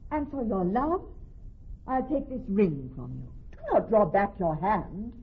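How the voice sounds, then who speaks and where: A woman's voice: medium pitch, sing-song, measured pace, breathy edge, exaggerated voice. An actress playing a composed, witty woman posing as a young male lawyer, in formal, articulate Shakespearean radio drama style. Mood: playfully insistent. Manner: formal, articulate verse delivery. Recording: dull muffled old radio recording, faint music.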